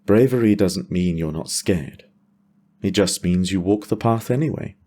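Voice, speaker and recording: A man playing a battle-worn dragon rider father, terse and quietly tender, in a fantasy audio drama. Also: deep voice, normal speed, pitched above the performer's own voice, natural voice. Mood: quiet, reflective. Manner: terse, understated. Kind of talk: audio drama character dialogue.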